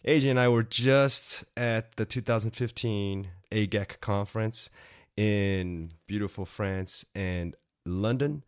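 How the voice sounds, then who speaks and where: A man's voice: on the low side, slow pace, smooth, no room sound, studio quality. A young man hosting a podcast interview, upbeat and chatty, with frequent fillers. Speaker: podcast host. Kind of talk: casual interview chat.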